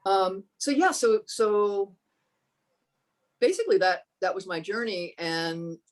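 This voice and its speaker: A woman with a fairly low voice telling her personal story as a podcast guest, thoughtful and earnest, often restarting her sentences. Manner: thoughtful, halting, with many restarts.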